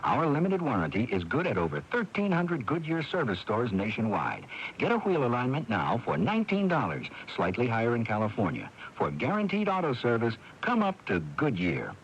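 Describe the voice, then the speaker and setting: A man's voice: medium pitch, wildly swooping pitch, brisk. A man reading a scripted radio advertisement as a commercial announcer. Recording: dull, noisy AM radio recording.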